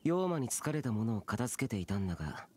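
A man's voice: lowish voice, sing-song, quick pace, darkish tone, slight background noise. A man playing a solemn, aloof young immortal demon slayer in a Japanese anime game, stern and terse. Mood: grave. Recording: game dialogue over music.

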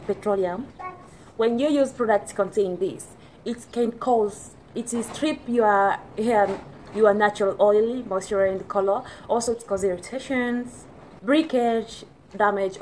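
A young woman from Tanzania with a Tanzanian accent, Swahili her first language, talking to camera about hair care in careful, halting English with many restarts. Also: medium pitch, a little mellow, slight background noise, poor audio. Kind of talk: casual talk to camera. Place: indoors, plain plastered wall.

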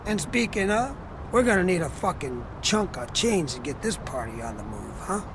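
An actor playing a boisterous American war-veteran mercenary captain in a video game, talking like a profane football coach. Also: male voice, high-pitched, big pitch swings, moderate pace, noisy background. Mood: gruffly fired up. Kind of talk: video game cutscene acting.

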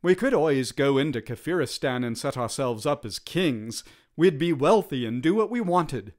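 A man's voice: fairly high pitch, animated, moderate pace, a little mellow, lightly acted. Guy reading as cocky british soldier with big dreams, casual and brash, storybook.

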